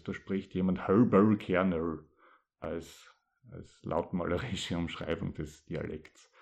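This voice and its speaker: Low, mellow East Styrian man. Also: vocal fry. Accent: East Styrian Austrian German. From East Styria, Austria. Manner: thoughtful, with pauses and hesitations. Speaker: poet. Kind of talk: dialect poetry reading and talk about it.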